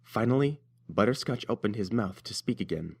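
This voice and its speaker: A man's voice: on the low side, expressive, normal speed, vocal fry, darkish tone, slightly rough. A man narrating a scripted story as its reader.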